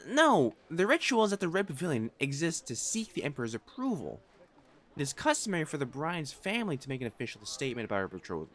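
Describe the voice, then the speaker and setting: A man's voice: a little high, sing-song, quick pace, fairly bright tone. A man playing a proud, possessive noble fiancé in a romantic audio roleplay, haughty and formal in speech and easily flustered. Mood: exasperated, jealous.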